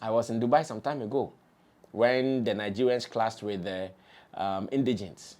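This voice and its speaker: A man's voice: lowish voice, normal speed, darkish tone. A man with a Ghanaian accent giving an opinion monologue as a show host, emphatic and rhetorical, repeating points for weight. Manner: emphatic, repetitive, rhetorical delivery. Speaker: media commentator and show host.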